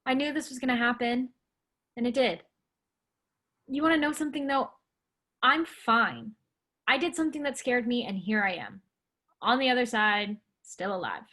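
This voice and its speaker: A woman's voice: a little high, lively intonation, moderate pace, long pauses, sharp tone. An actress playing a headstrong, wry young woman in a staged play reading. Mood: wry, resolute.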